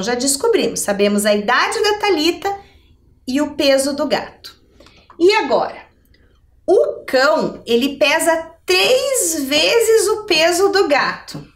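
A woman's voice: high-pitched, distant mic. A middle-aged woman teaching a televised maths lesson step by step, often checking in with questions. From Brazil. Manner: didactic, checking in with rhetorical questions. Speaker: adult education maths teacher. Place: indoor TV lesson studio. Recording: roomy echo, somewhat off the mic.

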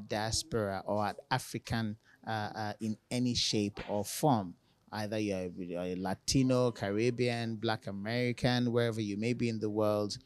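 A middle-aged Nigerian man speaks as an ambassador in a press interview. He is formal and wordy, with a medium-pitched voice, talking into a handheld microphone indoors. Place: bright indoor room by windows. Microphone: handheld reporter's microphone.

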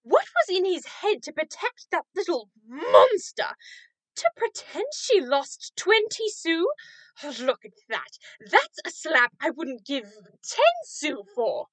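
A woman's voice: high-pitched, very animated, takes it slowly, no echo, exaggerated voice, low-quality recording. A woman playing a harsh, greedy, irritable innkeeper in a radio drama, bullying and calculating. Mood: irritated. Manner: scolding, fawning toward paying guests.

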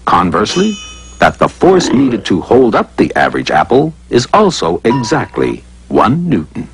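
Guy narrating a science cartoon, super lively up and down voice, playful, bit of hiss behind it. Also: fairly low pitch, moderate pace. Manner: playful, conversational, rhetorical questions. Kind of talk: scripted educational cartoon narration.

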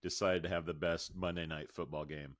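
Deep-voiced American man hosting a podcast. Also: fairly even intonation, brisk, no room sound, studio quality. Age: middle-aged. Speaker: podcast co-host. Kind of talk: upbeat podcast banter and news reading. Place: indoor room at home, video call. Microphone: close podcast microphone.

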